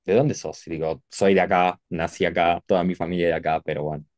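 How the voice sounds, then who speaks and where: A man's voice: low-pitched, quick pace, no room sound. A man from Turin, Italy, whose Spanish has an Italian tinge, chatting casually as a guest with many fillers and self-corrections. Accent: Italian-tinged Rioplatense Spanish. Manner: relaxed, rambling, frequent fillers and self-corrections. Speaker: architect guest. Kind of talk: casual conversational interview.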